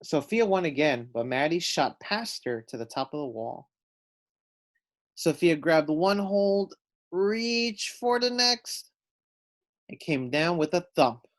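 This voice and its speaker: A man's voice: a little high, animated, takes it slowly. A man reading a children's storybook aloud, adding simple explanatory asides for young listeners. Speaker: storybook reader.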